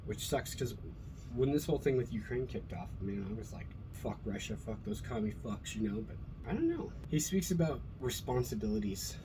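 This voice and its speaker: A man from Colorado talking casually and profanely, rambling with many fillers while reacting to an old speech and his upbringing. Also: on the low side. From Colorado, USA. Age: adult with a school-age son. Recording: noticeable background noise.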